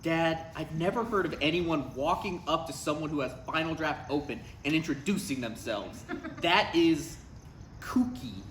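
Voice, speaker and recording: A man's voice: fairly high pitch, lively intonation, normal speed, a bit bright. A man playing a dry, skeptical, easily exasperated adult son in a live comedy table read. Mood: awkward, skeptical. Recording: echoey live room, faint noise.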